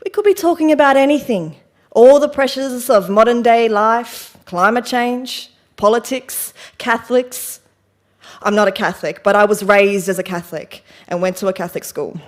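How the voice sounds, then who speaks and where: An actress playing a rattled, self-deprecating woman in a confessional stage monologue, speaking in a wry, halting, defensive way. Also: on the high side, lightly acted. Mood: rattled, awkward. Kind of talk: theatrical monologue, conversational and confessional.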